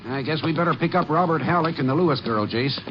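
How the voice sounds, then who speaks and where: A man's voice: fairly high pitch, fast talker, lightly acted. A man acting a plain-spoken, eager small-town sheriff in 1950s American radio drama, with a folksy, blunt manner. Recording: dull, noisy old radio recording.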